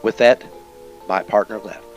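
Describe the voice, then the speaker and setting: A man playing a terse, deadpan hard-boiled detective, narrating in a parody of an old police radio drama. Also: lowish voice, measured pace, highs cut off, music in the background, natural voice.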